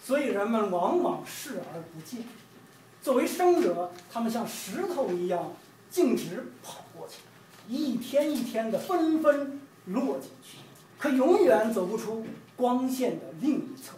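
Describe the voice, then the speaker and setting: A middle-aged man from China reads his own poetry in a measured, emphatic, declamatory way. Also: very high-pitched, very animated, dark tone. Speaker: poet reading own work. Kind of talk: poetry reading. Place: room with large windows, indoors. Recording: distant roomy event recording, background noise.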